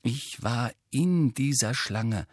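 A man's voice: medium pitch, expressive, slow pace, darkish tone, breathy edge, no room sound, clean studio sound, voice pushed up. A male narrator playing a moody, irritable teenage boy with a terse, defensive manner in an audiobook. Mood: troubled.

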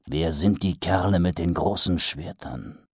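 A man voicing a weary, gloomy male watch captain who speaks slowly with dry sarcasm. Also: low voice, husky, dry recording. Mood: dry skeptical. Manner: slow, dry and sardonic.

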